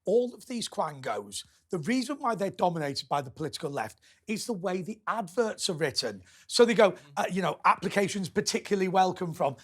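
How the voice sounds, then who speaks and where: Male TV host, high and bouncy voice, chatty storyteller. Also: a little fast, few pauses, husky. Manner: animated anecdote-telling, laughs often. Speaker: quiz show host. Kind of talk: panel chat. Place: television studio.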